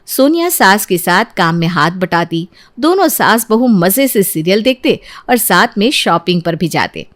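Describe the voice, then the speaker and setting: A woman's voice: mid-range voice, lively intonation, normal speed. A woman reading a scripted family story as its narrator.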